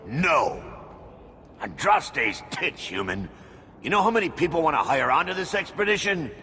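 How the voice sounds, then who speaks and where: A man's voice: fairly high pitch, sing-song, normal speed, pushed and bright. An actor playing a bad-tempered, dismissive male dwarf boss in a video game, blustering and scornful. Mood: irritated. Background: game music.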